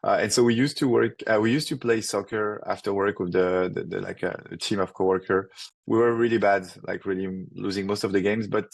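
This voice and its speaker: A man's voice: on the low side, fairly even intonation, gravelly, no room sound. A man in his thirties from France with a thick French accent, a startup founder answering interview questions casually, with many fillers and restarts. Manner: fast, filler-heavy, restarts often.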